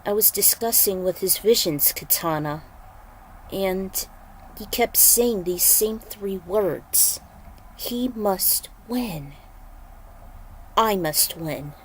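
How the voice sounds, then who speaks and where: A woman's voice: medium pitch, expressive, slow pace, long pauses, a little hiss or hum, poor audio. An earnest young-hearted hero, a male warrior monk and champion, as a woman narrator acts him out. The delivery is intense and dramatic, fit for a fantasy fighting story. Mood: troubled.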